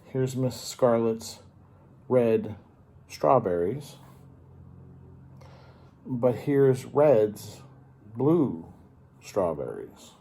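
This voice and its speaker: A man reading a picture book aloud to children as a story time host, pausing to explain and ask simple questions in a teacherly way. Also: medium pitch, lively intonation, creaky, mellow, husky.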